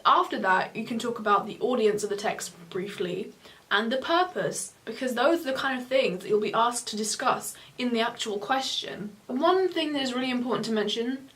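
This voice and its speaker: A young woman, a student, giving exam advice in a casual on-camera chat, talking fast with lots of self-corrections. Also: fairly high pitch. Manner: chatty, animated hand gestures, self-correcting. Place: small home room, indoors. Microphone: camera in front of two seated speakers.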